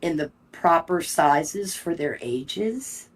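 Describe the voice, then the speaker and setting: A woman with a very low voice for a woman chats casually as a stream co-host. She tells her story in a chatty way, stopping and restarting her sentences. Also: animated, slow, breathy edge. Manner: chatty storytelling with false starts. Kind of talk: casual livestream chat.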